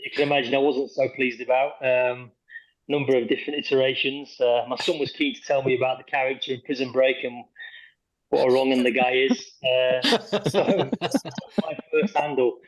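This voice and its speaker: A man's voice: medium pitch, fairly even intonation, moderate pace, poor audio. A man from Yorkshire, a guest on a podcast telling a story over a video call, hesitant with lots of ums and the odd chuckle. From Yorkshire, England. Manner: rambling, many ums, chuckles through story. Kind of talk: casual podcast interview.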